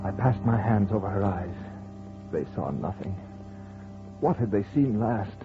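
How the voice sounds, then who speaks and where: A man's voice: lowish voice, animated, measured pace. An actor playing a haunted, grieving husband who narrates his ghostly tale in dramatic old-time radio horror style. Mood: grief, dread. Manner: dramatic, theatrical delivery. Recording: tinny old radio broadcast with music.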